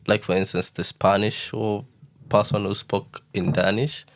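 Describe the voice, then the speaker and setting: A man talking as a guest in a casual podcast chat, measured and searching for his words. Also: on the low side, flat delivery, slight background noise.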